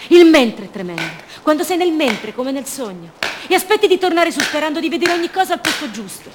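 A woman's voice: high voice, big pitch swings, brisk, noisy background. An actress playing a fragile, haunted captive woman in a radio drama, speaking in short fragments and childlike repetitions. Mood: dreamy, fearful. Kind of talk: theatrical monologue, radio drama.

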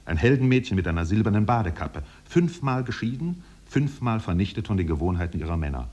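Husky, deep-voiced wry storyteller. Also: male voice, lively intonation, brisk, a little mellow. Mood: lyrical. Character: wry, poetic storyteller observing islanders. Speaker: narrator. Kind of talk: scripted radio drama narration, 1950s.

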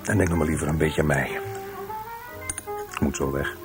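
An actor playing a married lover in a Dutch radio play, speaking in an intimate, unhurried and reassuring way. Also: male voice, very deep voice, steady tone. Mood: reassuring, amorous. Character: low-voiced male married lover, reassuring and amorous. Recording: music under the dialogue. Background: plucked guitar music.

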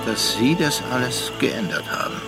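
An actor playing a reflective, worldly gentleman narrator in a literary radio drama, speaking measured standard German. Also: medium pitch, sing-song, gravelly, over loud music. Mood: sober, wistful. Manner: measured, contemplative, deliberate phrasing.

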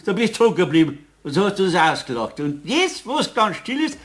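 High-voiced, querulous Bavarian man. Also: big pitch swings, brisk. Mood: exasperated. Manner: querulous, questioning. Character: peevish, puzzled Bavarian male card-playing friend. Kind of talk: radio play acting for children.

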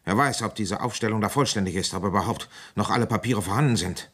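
A weary police inspector speaks in a deep register. He is suspicious and insistent, probing as he talks and narrating his own case in radio-play style. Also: quick pace, a bit bright, breathy edge. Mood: suspicious, pressing. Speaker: detective and first-person narrator. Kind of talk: German radio play acting.

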